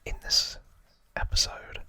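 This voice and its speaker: Softly whispering male ASMR host. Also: unhurried. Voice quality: breathy whisper. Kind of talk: whispered ASMR trivia storytelling.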